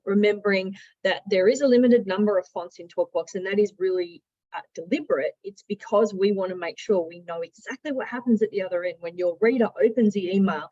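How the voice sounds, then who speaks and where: Female software trainer, Australian accent, lowish pitch, informal step-by-step explainer, slightly muffled lo-fi sound. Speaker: sales and marketing director giving software training. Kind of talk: instructional screen-share walkthrough, conversational.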